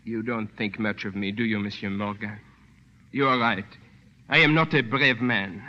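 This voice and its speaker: A man's voice: lowish voice, moderate pace, natural voice. An actor playing an earnest, humble French resistance man with grave, formal speech in a 1940s radio drama. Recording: dull old radio recording.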